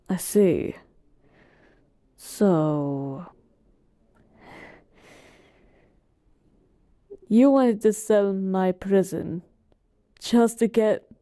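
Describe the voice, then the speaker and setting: A woman's voice: fairly low pitch, warm tone, no room sound, clean studio sound. A woman voicing an ancient, all-powerful genie who talks casually and teasingly to a master in a roleplay monologue. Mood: musing, probing. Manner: casual, teasing, conversational asides. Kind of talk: in-character roleplay monologue to the listener.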